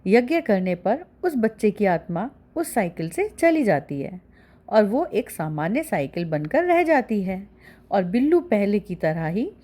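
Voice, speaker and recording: A woman's voice: medium pitch, very animated, unhurried, mellow. A woman narrating a story for children as a storyteller.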